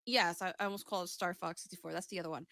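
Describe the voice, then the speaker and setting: A woman's voice: on the low side, fairly even intonation, very fast, vocal fry, sharp tone, no echo, studio quality. A woman co-hosting a casual gaming chat show, talking in a rambling, sweary way and often interrupting herself.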